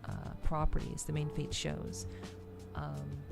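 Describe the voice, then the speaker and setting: A woman's voice: sits low, completely flat delivery, slow pace, creaky, over loud music. A woman streamer chatting casually to her live audience, thinking aloud and often repeating her words. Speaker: VTuber streamer. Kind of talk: casual livestream chat.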